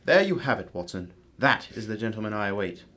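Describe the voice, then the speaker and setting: A man playing a composed, courteous, analytical gentleman detective with a formal, measured manner in an amateur radio drama. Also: fairly low pitch, big pitch swings.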